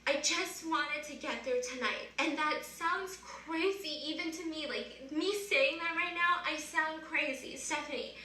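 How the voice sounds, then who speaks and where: A woman in her twenties with a high-pitched, loud voice, earnestly recounting a personal story. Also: unhurried, hardly any pauses. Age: 20s. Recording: roomy, distant sound with faint noise from a played clip.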